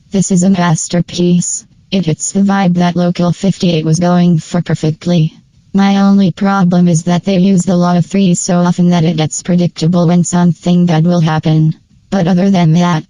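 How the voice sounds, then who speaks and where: A woman's voice: on the low side, mellow, dry recording, poor audio. A woman giving a casual, scripted review commentary in informal, opinionated fan language. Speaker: video creator and narrator.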